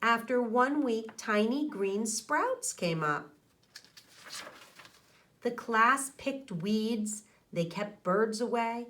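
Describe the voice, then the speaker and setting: A middle-aged woman, an elementary teacher reading aloud and talking directly to young children in an expressive way, close to a microphone indoors. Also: a little high, very slow. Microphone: microphone on a boom arm close to mouth.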